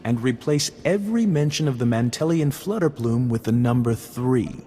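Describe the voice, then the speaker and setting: A man's voice: medium pitch, expressive, moderate pace, mellow, a little hiss or hum, natural voice. Man acting sly courteous smuggler informant, echoing hall. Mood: smug, instructive. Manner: florid, mock-polite, wordy. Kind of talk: video game character dialogue. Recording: reverberant hall sound under music.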